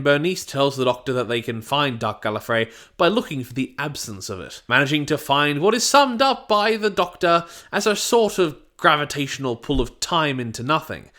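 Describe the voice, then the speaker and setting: Man narrating a review, high animated voice. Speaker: YouTube audio drama reviewer.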